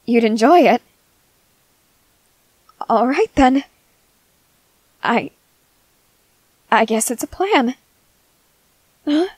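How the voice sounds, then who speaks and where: A woman's voice: fairly high pitch, expressive, very slow, pauses often, a little mellow, no room sound, heavily acted, low-quality recording. A young woman playing a shy, earnest young woman in a romance roleplay audio, who stammers and speaks in polite, formal phrases. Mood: nervous, eager. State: crying with happiness.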